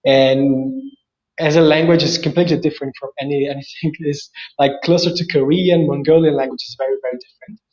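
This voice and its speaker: A man's voice: on the high side, steady tone, fairly quick, darkish tone, lo-fi sound. A man from Paraguay with a Paraguayan Spanish accent, speaking English as a guest in a casual interview, hesitant and restarting often. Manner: hesitant, many restarts and fillers. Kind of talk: casual video call interview.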